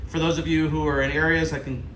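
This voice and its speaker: American male vlogger, forceful bright tone, informal and enthusiastic, casual unboxing narration, noticeable background noise. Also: a little high, flat delivery, fast talker, poor audio. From Ohio, United States. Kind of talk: casual hands-on product unboxing commentary. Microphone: handheld camera.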